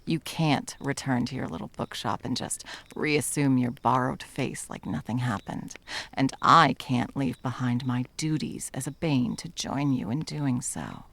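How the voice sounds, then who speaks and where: A woman's voice: very deep voice, measured pace, creaky, a bit bright, full character voice. A woman playing a wry, menacing monster hunter who is sadly in love, in intimate ASMR roleplay with a theatrical, sardonic delivery that dips into whispers. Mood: tender, regretful.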